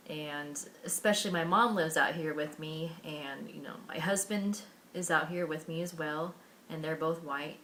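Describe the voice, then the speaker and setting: Low-voiced young American woman, candid. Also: moderate pace, few pauses, bright, forceful tone, a little hiss or hum, rough recording. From Washington State, USA. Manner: earnest, candid, with many fillers and restarts. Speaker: vlogger. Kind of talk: personal storytelling to camera.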